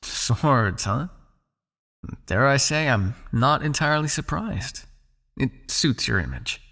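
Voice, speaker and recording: A man acts out a possessive male vampire captor in an intimate hypnosis roleplay. His mid-pitched voice has a heavy, crackling fry. He speaks straight to the listener, coaxing and teasing as a captor sure of his hold. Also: lively intonation, bright, forceful tone, exaggerated voice. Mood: confident, challenging. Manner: coaxing, teasing, with pauses, sighs and murmured asides.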